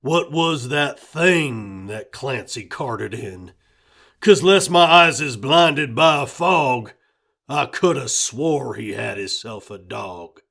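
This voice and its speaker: Narrator voicing a gruff father. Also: mid-range voice, expressive, takes it slowly, exaggerated voice. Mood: grumbling. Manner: loud, scolding, hard drawl. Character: gruff rural father, bitter and suspicious. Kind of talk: rhyming storybook voice acting.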